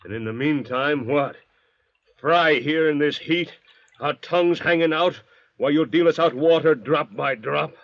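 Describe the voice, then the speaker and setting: An actor playing a surly, defiant young convict in an old-time radio drama, blunt, sneering and demanding. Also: male voice, on the high side, a little slow. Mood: defiant, resentful. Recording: dull vintage radio recording.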